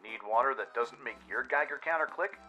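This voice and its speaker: A man's voice: medium pitch, a little fast, bright, forceful tone, lo-fi sound, faint music behind, pitched above the performer's own voice, close to an everyday voice. A man playing a slick wasteland radio announcer delivering sponsor messages. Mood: salesmanlike.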